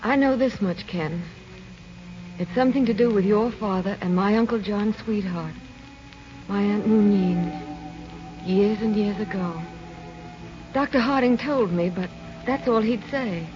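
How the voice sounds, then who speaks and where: Actress as gentle young woman in love, old radio drama, anxious n hesitant, muffled w music behind. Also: mid-range voice, unhurried, pauses often, close to an everyday voice. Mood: hushed, uneasy. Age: young adult. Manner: tender, hesitant, earnest. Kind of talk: 1930s-40s radio drama acting. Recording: dull old radio broadcast.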